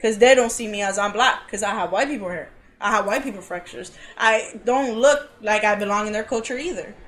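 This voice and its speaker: A woman's voice: mid-range voice, brisk, fairly bright tone. A woman from Cuba, a podcast guest, earnestly telling a personal story in a casual way with frequent uhs. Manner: earnest, talks things through with many uhs.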